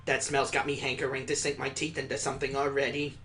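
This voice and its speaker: A man's voice: medium pitch, little pitch movement, fast talker, fairly bright tone, natural voice. A man voicing a rowdy, mouthy child in a visual novel, whiny and exaggerated. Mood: teasing.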